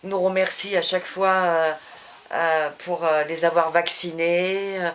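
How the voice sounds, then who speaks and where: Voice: medium pitch, level tone, a little slow, a bit bright, a little hiss or hum. A vaccination centre staff member speaking hesitantly about grateful patients. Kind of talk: interview answer.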